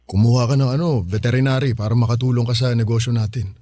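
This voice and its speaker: A man's voice: mid-range voice, fairly even intonation, fast, warm tone, no room sound, clean studio sound, natural voice. An actor playing a persuasive, evasive middle-aged father with a Filipino accent, casual and coaxing, in a radio drama. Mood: fatherly, upbeat.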